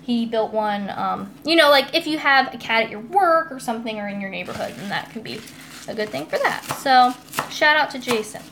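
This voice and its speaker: Higher-voiced American woman, noisy kitchen stream. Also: animated, brisk, fairly bright tone. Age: young adult. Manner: chatty, giggly, informal. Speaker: host of a cooking live stream. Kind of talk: casual chatty live stream, reading comments aloud. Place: indoors in a home kitchen. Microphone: phone or tablet, a short distance away.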